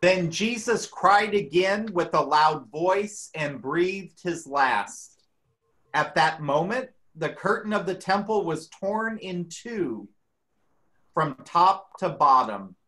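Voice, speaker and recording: Slow, measured woman reading scripture. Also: on the low side, animated, a bit bright, rough recording. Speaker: scripture reader.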